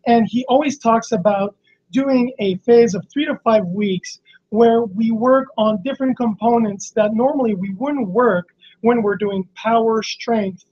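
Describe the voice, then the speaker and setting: A hoarse man with laryngitis, a fitness coach teaching on a live stream in a halting, explanatory way with many restarts. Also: high voice, fairly even intonation, darkish tone. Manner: explanatory, frequent fillers and restarts. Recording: slightly dull lo-fi stream audio.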